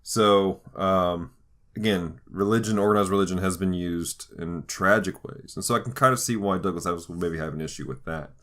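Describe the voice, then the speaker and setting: A man hosting a conversational podcast, talking through ideas in a thoughtful, halting way with frequent restarts. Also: deep voice, a bit bright. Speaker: podcast host. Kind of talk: conversational podcast discussion of faith and pop culture.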